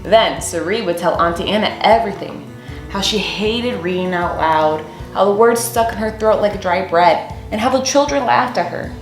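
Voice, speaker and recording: A young woman reading a children's picture book aloud as a storyteller, with deliberate, expressive phrasing in a small room. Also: medium pitch. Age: young adult. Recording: roomy echo, faint music underneath.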